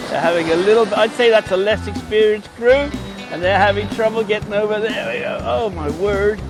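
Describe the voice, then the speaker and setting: A man from Wales with a Welsh accent, chatting excitedly to his livestream viewers while commenting on a race at a surf beach. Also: high voice, moderate pace, over music. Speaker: livestream host. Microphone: phone mic held in hand.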